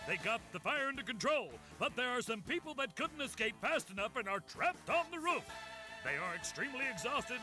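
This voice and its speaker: A man playing an urgent messenger who pleads dramatically with a superhero in a video game cutscene. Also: extremely high pitch. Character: urgent male messenger calling a soda superhero to a rescue.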